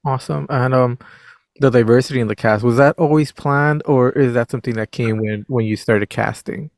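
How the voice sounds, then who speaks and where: A male interviewer asks long questions, halting and repeating words. His mid-range voice is gravelly and close, with a dry sound. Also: mid-range voice, no room sound.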